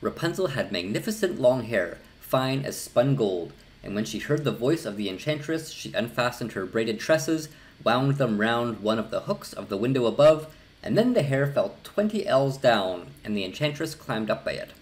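A man's voice: medium pitch, sing-song, normal speed. A middle-aged man reading a fairy tale aloud as scripted storybook narration. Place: small indoor room.

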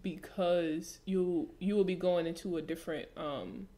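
A young woman with a deep voice co-hosting a casual podcast chat, speaking into a studio mic in a small room, relaxed and drawn out with emphatic rises. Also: a little slow. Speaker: podcast co-host. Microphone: studio mic with headphones.

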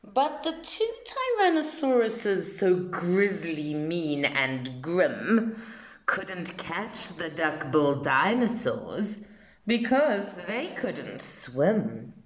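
A woman reading a children's story aloud with expressive, dramatic emphasis and deliberate phrasing. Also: fairly low pitch. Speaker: storybook reader. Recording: roomy, echoing home recording.

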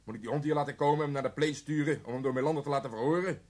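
An actor playing an irritable, sarcastic police officer in a radio drama. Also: male voice, medium pitch, very fast, darkish tone, natural voice. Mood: sarcastic, exasperated. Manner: sarcastic, grumbling. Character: irritable, exasperated gruff male police officer. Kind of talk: Dutch radio drama acting.

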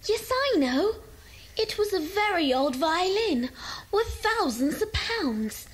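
A woman's voice: very high-pitched, very animated, slow pace, a little hiss or hum, heavily acted. A woman playing a thoughtful little child in a children's club.

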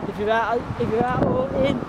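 A man's voice: extremely high pitch, fairly even intonation, a little fast. A man in his twenties with an English accent, vlogging casually outdoors, rambling and informal as he talks to viewers. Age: 20s. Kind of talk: casual chat to viewers while cycling. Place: outdoors on suburban roads, riding a bicycle. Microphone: handheld camera at arm's length. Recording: very noisy outdoor wind and traffic.

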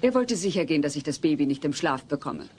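A woman's voice: low-pitched, lively intonation, quick pace, darkish tone, exaggerated voice. A woman playing a dry, exasperated suburban mother in a German audio drama, with a sarcastic way of talking. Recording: clear background noise.

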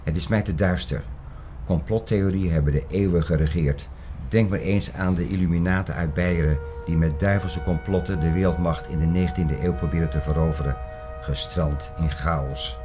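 Man acting a dismissive learned doubter, low-pitched, lecturing, measured, radio play, music playing behind. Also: fairly even intonation, lightly acted. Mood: firm, sceptical. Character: sceptical, learned older male friend named Klaus, dismissive. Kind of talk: radio drama dialogue acting.

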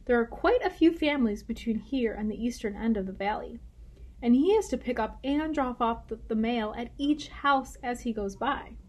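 Woman reading as fatherly, protective storybook man, high voice. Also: moderate pace, lightly acted. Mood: calm concern. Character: fatherly man, patient explainer, protective and anxious.

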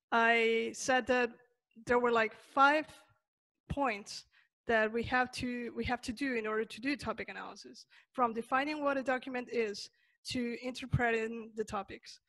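A young woman from Peru, a Spanish native speaker with a Peruvian accent, gives an online lecture over slides, pausing often with fillers and restarts. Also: fairly high pitch, fairly bright tone, dry recording, rough recording. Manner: thoughtful, many fillers and restarts. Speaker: webinar presenter.

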